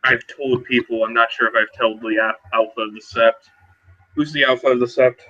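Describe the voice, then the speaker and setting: A man playing a haunted, uneasy werewolf who escaped captivity, speaking plainly and haltingly in a roleplaying game. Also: mid-range voice, fairly even intonation, pushed and bright, highs cut off. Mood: earnest, halting.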